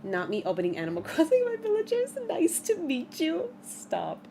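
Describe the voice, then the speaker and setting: A woman's voice: sits high, moderate pace, creaky, background noise. A woman in her mid-twenties chatting casually on a gaming livestream, reading chat and reacting aloud. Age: 20s. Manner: chatty, animated, reacts with gasps and laughs.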